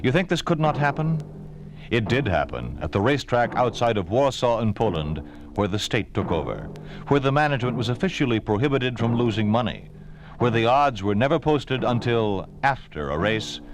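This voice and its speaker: A man's voice: mid-range voice, expressive, normal speed, background noise. A man with an American accent announcing in a solemn, formal, rhetorical 1950s radio narration style. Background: music.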